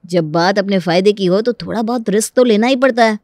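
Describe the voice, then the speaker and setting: A woman voicing a bold, scheming young family man in a Hindi animated story, exasperated and persuasive in a colloquial way. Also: medium pitch, brisk, no room sound, studio quality, natural voice.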